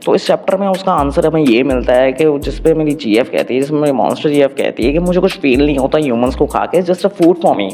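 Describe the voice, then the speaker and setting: A man's voice: fairly high pitch, lively intonation, fast, hardly any pauses, darkish tone. Indian man casually recapping, music underneath. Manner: informal, colloquial, mixing Hindi with English phrases. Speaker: manga recap narrator. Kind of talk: casual first-person story recap with jokes.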